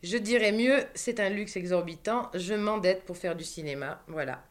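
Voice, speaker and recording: A woman's voice: medium pitch, normal speed. A woman hosting a talk program, speaking in a wry, conversational way with many asides. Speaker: host. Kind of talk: radio interview and commentary.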